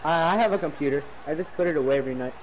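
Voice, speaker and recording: A man's voice: a little high, rapid-fire, dull audio, noisy background. A young man co-commenting a hockey game, teasing his friend with crude jokes and frequent giggles. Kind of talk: casual chat over a game.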